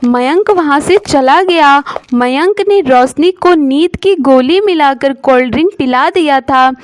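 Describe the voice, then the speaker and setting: A woman's voice: high voice, unhurried, no room sound. A woman storyteller giving scripted narration of a moral story.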